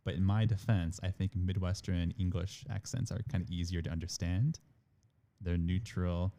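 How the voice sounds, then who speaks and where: A man's voice: sits low, steady tone, moderate pace, dark tone. A man being interviewed on a podcast about his admissions work, talking loosely with many fillers like "like" and "again.". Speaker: international admissions manager, guest.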